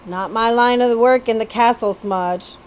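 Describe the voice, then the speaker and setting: A woman's voice: a little high, measured pace, clean tone, close to an everyday voice. A woman voicing a young rat-catcher boy in a storybook, guarded and stiff, with short plain replies.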